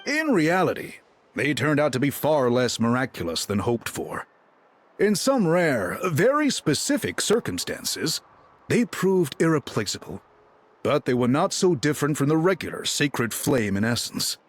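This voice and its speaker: A man's voice: fairly high pitch, very animated, measured pace. An actor playing a composed male chief explaining history in a video game. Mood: explanatory.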